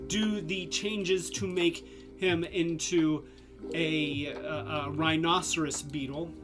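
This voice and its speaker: Casual toy-review streamer, slow unhurried delivery, stuffy congested voice, music playing underneath. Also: medium pitch. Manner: rambling, many fillers and restarts. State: congested, losing voice; tipsy from drinks.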